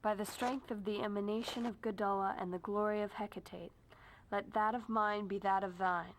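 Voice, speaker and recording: A woman's voice: mid-range voice, fairly even intonation, normal speed, natural voice, low-quality recording. An actress playing an earnest, supportive young witch in a video game. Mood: solemn. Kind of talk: video game cutscene dialogue.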